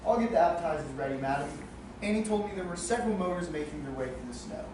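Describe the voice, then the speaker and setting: Woman as a fussy polite servant, low voice, talks fast, stage comedy, noisy echoey recording. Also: expressive, natural voice. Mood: deferential. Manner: deferential, fussing. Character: deferential household servant reporting kitchen troubles to madam. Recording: very echoey room, distant noisy mic.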